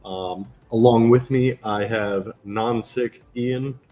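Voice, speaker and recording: A man's voice: mid-range voice, steady tone, slow pace, dark tone, faint music behind. A man hosting a casual gaming podcast, sounding nasal from a cold and laughing often between remarks. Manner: laughs often, loose and chatty. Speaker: podcast host.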